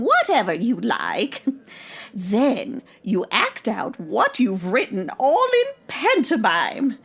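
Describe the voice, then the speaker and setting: A woman playing a bubbly, bossy older grandmother hosting a party, husky and gushing, in old-time radio comedy style. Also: medium pitch, heavily acted. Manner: gushing, chatty.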